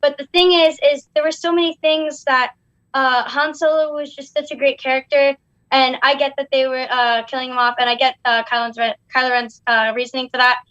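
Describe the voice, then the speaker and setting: Young woman, high voice, dry muffled sound, casual rambling opinions. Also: fairly even intonation, brisk, fairly bright tone. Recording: dull, roomy sound.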